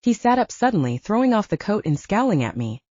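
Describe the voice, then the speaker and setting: Animated, mellow woman narrating an audiobook. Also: mid-range voice, brisk, no echo, lo-fi sound. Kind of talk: scripted first-person fiction narration.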